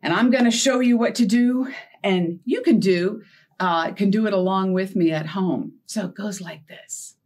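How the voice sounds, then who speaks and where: A middle-aged woman hosting a storytime for young children, talking in an animated way with simple questions and playful surprise. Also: mid-range voice, normal speed. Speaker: children's librarian and storytime host. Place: small indoor set with felt board and book cart.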